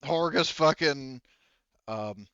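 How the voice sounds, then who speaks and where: A man chatting casually as game master at a tabletop game, talking in a rambling, halting way with plenty of swearing. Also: medium pitch, a bit bright, clear, resonant tone, dry recording, muffled recording.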